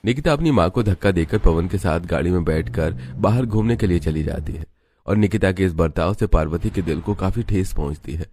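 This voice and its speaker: A man's voice: low voice, a little fast, a little mellow, a little hiss or hum. A man reading scripted narration for a family story.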